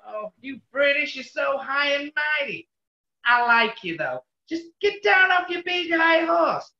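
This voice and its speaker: An actress playing a brassy New York character with an American accent, brash and animated, in 1940s radio comedy style. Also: female voice, high voice, a little slow, heavily acted. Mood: brassy, wistful. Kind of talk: 1940s radio play acting. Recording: roomy, muffled online recording.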